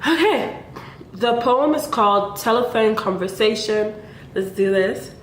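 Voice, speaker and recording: Slow, easygoing young woman vlogger. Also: mid-range voice, expressive, a little hiss or hum. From Nigeria. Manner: chatty and playful, laughs between remarks. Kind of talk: casual vlog chat. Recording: echoey room.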